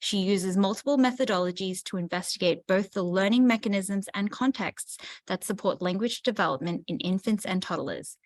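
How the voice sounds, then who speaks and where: A woman hosts a library event over a video call and reads a prepared introduction. She speaks politely and formally at an even pace, and her voice has a close, dry sound. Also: mid-range voice, no echo. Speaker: library program host.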